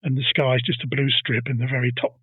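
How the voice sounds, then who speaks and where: Guy telling a history story on a podcast, bit husky, thin phone-ish sound. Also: medium pitch, little pitch movement, brisk, dry recording, phone-quality sound. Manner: teasing, banters with co-host. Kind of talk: casual comedic storytelling.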